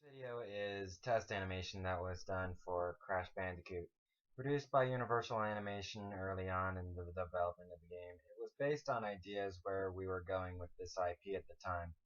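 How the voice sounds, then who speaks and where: A man's voice: sits low, a little fast. A man reading out an explanatory statement as scripted narration, with a dull lo-fi sound.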